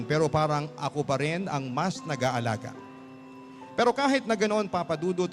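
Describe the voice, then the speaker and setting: Higher-voiced man narrating a radio story. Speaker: radio drama host reading a listener's letter. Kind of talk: emotional scripted story narration.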